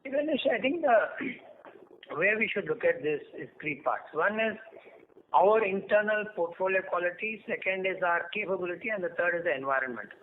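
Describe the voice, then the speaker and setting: A man with an Indian accent answering as a senior bank executive on an earnings call, explaining in a measured, structured way. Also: high voice, animated. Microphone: telephone conference line. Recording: narrow phone line with faint noise.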